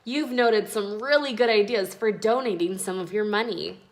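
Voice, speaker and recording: A woman's voice: medium pitch, normal speed, lightly acted. A woman voicing a kindly, encouraging uncle who patiently explains things to children in a storybook.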